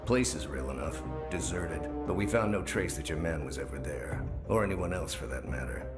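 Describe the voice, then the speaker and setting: An actor playing a stern, skeptical senior intelligence man in a video game, formal, deliberate and guarded. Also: a bass voice, expressive, loud music behind the voice. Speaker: agency superior. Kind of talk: cinematic video game dialogue.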